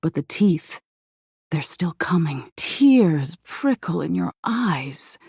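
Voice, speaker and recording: A low-voiced living nightmare from a video game, sinister and seductive. She draws her words out at an unhurried pace and toys with the listener in playful menace. Her dark, husky tone often sinks into breathy whispers. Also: very animated, no room sound, studio quality, exaggerated voice. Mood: menacing, creeping. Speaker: video game character voice actor. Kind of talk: theatrical video game voice acting.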